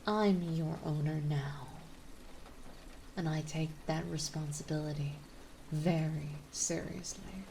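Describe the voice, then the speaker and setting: Woman acting as vampire mistress, ASMR roleplay, mellow lowish voice, soft whispery delivery, coaxing, possessive, tender. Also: fairly even intonation, slight background noise, full character voice. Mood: protective, possessive. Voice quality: soft, breathy, often dropping into whispers. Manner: soothing, coaxing, slow and deliberate. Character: gentle, possessive, dominant female vampire mistress.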